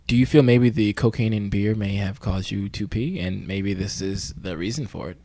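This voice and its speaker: A man playing an earnest, awkward amateur podcast host, hesitant and rambling with a deadpan delivery, in a mock-investigative interview. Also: fairly low pitch, moderate pace.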